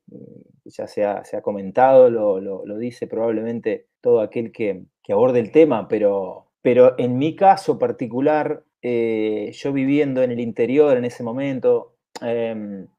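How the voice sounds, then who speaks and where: A man's voice: medium pitch, warm tone, dull audio. A man, chatting casually on a podcast in a rambling way, with lots of restarts and repeats. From southern Chile. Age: 40s. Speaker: podcast co-host.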